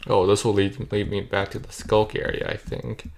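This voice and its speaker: Gravelly young man, voice cracking. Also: fairly low pitch, little pitch movement, moderate pace. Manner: rambling, self-correcting, talks to himself. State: voice cracking, a bit off. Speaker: gamer streaming a play session. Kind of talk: casual thinking-aloud gameplay commentary.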